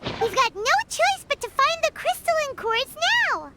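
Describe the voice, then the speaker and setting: Very high, squeaky, excitable little girl. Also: unhurried, very noisy, heavily acted. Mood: eager. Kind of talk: scripted game cutscene acting.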